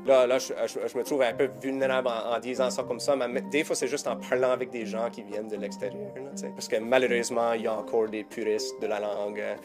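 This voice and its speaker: A man from Nova Scotia with an Acadian French accent, speaking in a documentary interview in a measured, reflective way with hesitant restarts. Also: mid-range voice, fairly even intonation. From Baie-Sainte-Marie, Nova Scotia. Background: background music.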